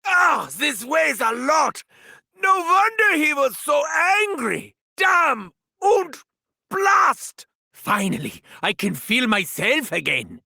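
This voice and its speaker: German mad doctor, manic, sadistic, high and swooping, gravelly edge, bright sharp tone, slow deliberate delivery, dry studio sound. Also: male voice, dry recording, heavily acted. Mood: sardonic. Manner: theatrical, cackling, shouted gloating. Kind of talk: video game character barks.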